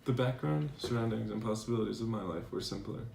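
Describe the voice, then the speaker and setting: Guy acting as a tender philosopher reading love letters, earnest n gravelly, kinda far from the mic, roomy with faint noise. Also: fairly low pitch, quick pace, a little mellow. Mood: reflective, reassuring. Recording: echoey room, distant mic, faint noise.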